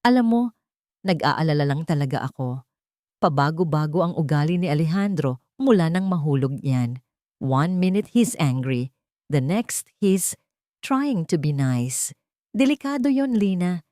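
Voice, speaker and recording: A woman's voice: sits low, lively intonation, unhurried, dark tone, no echo, clean studio sound. A woman voicing a polite man who sounds concerned and confiding in an audio drama. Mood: confiding, warning. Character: courteous man feigning sympathy, secretive, cautioning a maid. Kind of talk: audio drama character dialogue.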